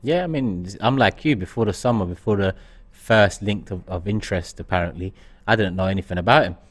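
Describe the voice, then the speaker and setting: A man co-hosting a live football fan show, giving his views in a measured, step-by-step way. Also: sits low. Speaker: fan channel co-host. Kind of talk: casual live football chat.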